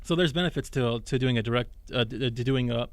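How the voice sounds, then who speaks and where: A man's voice: mid-range voice, quick pace, no room sound. A young adult man co-hosting a college radio video game podcast, explaining things casually and correcting himself as he goes. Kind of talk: casual discussion.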